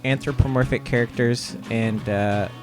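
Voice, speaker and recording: A man from Ohio, a comic artist guest, giving relaxed, rambling interview answers with lots of "uh"s. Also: mid-range voice, moderate pace, faint music behind. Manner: laid-back, rambling with many "uh"s.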